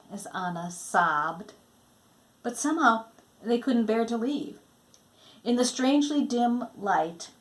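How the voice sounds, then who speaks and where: A woman reading a Christmas storybook aloud in an expressive, dramatic way, letting phrase endings fall hushed. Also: mid-range voice, poor audio. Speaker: storybook reader.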